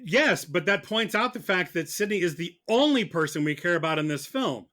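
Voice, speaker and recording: Podcast mock prosecutor: male, slightly high-pitched, argumentative, stumbles and doubles back on his words. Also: expressive, sharp tone. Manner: argumentative, halting with repeated words. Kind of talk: comic debate on a film podcast.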